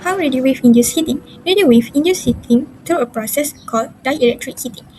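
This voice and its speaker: A woman's voice: high voice, a little fast, a little mellow, a little hiss or hum, rough recording. A young woman reading a scripted class presentation as a student.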